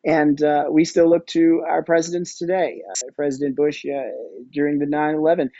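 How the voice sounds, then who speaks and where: A man giving conversational interview answers as a podcast guest, discursive and halting as he thinks aloud. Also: a little high, flat delivery, mellow, dry recording, highs cut off. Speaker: podcast guest, audio storytelling company executive.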